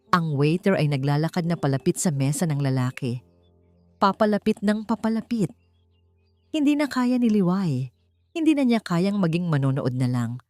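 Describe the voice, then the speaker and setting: A woman narrating a scripted story in a dramatic, suspenseful style, with deliberate short emphatic phrases. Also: lowish voice, a little mellow. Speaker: audiobook narrator. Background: background music.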